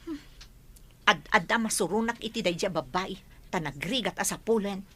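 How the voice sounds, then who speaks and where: Bright, sharp-voiced spiteful rich woman. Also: mid-range voice, normal speed, breathy edge. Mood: annoyed, spiteful. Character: spiteful, scheming wealthy woman plotting against a girl. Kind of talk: Ilocano radio drama acting.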